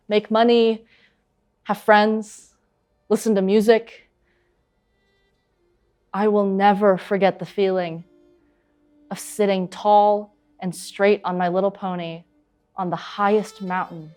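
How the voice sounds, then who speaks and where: Actress as a passionate young eagle huntress. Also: female voice, mid-range voice, steady tone, slow, pauses often, a little mellow, faint music behind, close to an everyday voice. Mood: wistful, proud. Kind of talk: dramatic stage monologue, vivid storytelling.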